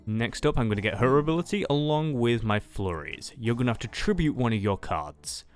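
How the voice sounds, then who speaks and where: A man's voice: medium pitch, animated, brisk, faint music behind. A man talking casually through his moves as a player in a roleplay card duel. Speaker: card game duelist player.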